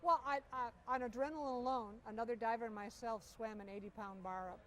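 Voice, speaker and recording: Middle-aged woman, slightly high voice, enthusiastic storyteller, faint outdoor noise behind. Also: fairly even intonation, fairly quick. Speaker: interview guest. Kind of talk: storytelling interview. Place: outdoor air show.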